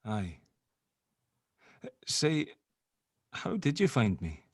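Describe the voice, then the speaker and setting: A man's voice: mid-range voice, sing-song, takes it slowly, darkish tone, no echo. A man playing an affectionate, awkward long-lost father, teasing and flustered, in a drama table read. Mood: relieved, sheepish.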